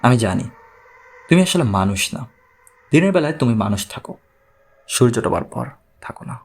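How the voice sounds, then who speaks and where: A man's voice: mid-range voice, big pitch swings, moderate pace, pitched below the performer's own voice. A man voicing a frightened wife who insistently accuses her husband, in an audiobook story. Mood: accusing, fearful.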